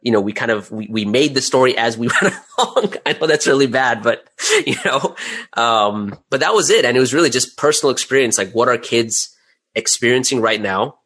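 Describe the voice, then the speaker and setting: A man's voice: mid-range voice, expressive, fairly quick, a bit bright, husky. A middle-aged man speaking casually as an interview guest, candid and self-deprecating about his own work as a creator. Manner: candid, self-deprecating, chuckles while talking.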